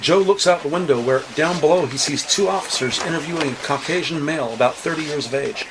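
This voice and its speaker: A man's voice: a little high, fast, background noise. A man narrating a scripted crime audio drama in the present tense.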